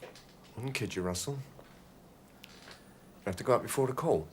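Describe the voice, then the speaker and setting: A man's voice: moderate pace, noisy background, natural voice. A man playing a firm hostage policeman. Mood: coaxing. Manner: firm, persuasive, low and urgent. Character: steady male police officer held hostage, coaxing gunman.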